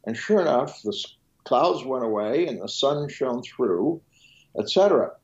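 A man's voice: medium pitch, expressive, a little slow, lo-fi sound. A physician whose first language is French, explaining in a podcast interview, restarting and listing as he goes. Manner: explanatory, with frequent restarts and trailing lists. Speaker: physician guest.

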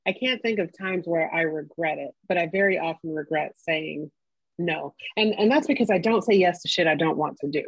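A woman's voice: deep voice, normal speed, breathy edge, dry recording. A middle-aged woman co-hosting a casual podcast chat, candid and emphatic, swearing offhandedly. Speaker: podcast co-host. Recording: dull, dry lo-fi sound.

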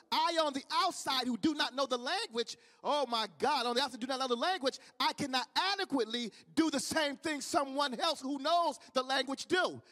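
Midwestern male preacher, very high voice, fervent repeating cadence, long hall reverb. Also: bright, forceful tone. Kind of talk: sermon.

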